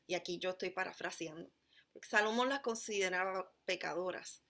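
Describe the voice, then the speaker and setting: Measured Puerto Rican woman preaching. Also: fairly low pitch, pushed and bright. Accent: Puerto Rican Spanish. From Puerto Rico. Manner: measured, emphatic preaching with deliberate slowed phrases. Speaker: pastor. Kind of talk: sermon.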